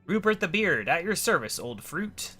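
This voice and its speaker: Pompous old gent, animated. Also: male voice, fairly high pitch, moderate pace, faint music behind, voice pushed up, full character voice. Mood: jolly, pompous. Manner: blustering, exclamatory, old-fashioned genteel speech. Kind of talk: voicing video game dialogue.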